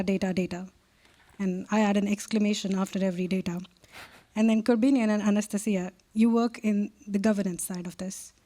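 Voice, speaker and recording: A woman's voice: medium pitch, dark tone. A woman moderating a panel discussion, putting pointed questions to speakers in a direct, emphatic way.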